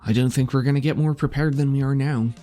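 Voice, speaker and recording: A woman's voice: rumbling low, fairly even intonation, warm tone. Hesitant young female tinkerer for a tabletop game, earnest and awkward, played by a woman, over faint music. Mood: wry, nervous. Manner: hesitant, halting.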